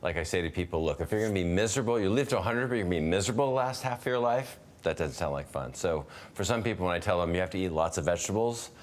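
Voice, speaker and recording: A man's voice: sits low, expressive, rapid-fire, hardly any pauses. A man in his fifties hosting a webinar over a video call, thinking aloud with restarts and asking questions. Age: 50s. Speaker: webinar host. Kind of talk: conversational interview.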